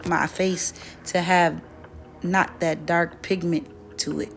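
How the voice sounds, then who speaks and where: Young woman, slightly raspy, relaxed chatty beauty tutorial, soft music behind. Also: lowish voice, takes it slowly. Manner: relaxed, chatty, self-correcting. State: voice dry from weather. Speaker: beauty vlogger. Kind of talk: casual makeup tutorial chat.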